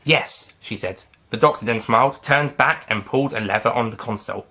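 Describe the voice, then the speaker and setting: A man narrating a fan-written story aloud in a plain, steady reading voice. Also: lowish voice, a little fast. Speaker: narrator and writer.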